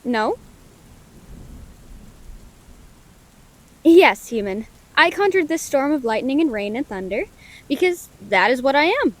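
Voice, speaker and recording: A woman's voice: high-pitched, very animated, moderate pace. A woman playing a friendly, curious, caring lightning elemental in a scripted audio roleplay, speaking earnestly in quaint, formal words. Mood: friendly, playful. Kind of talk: scripted audio roleplay, gentle comforting monologue. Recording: rain behind the voice.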